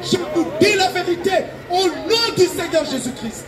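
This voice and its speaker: A man's voice: extremely high pitch, expressive, normal speed, a crowd in the background, noisy background. A man from Belgium preaching an evangelistic sermon in an urgent, exhorting and emphatic way, repeating his phrases. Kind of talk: fervent open-air evangelistic sermon.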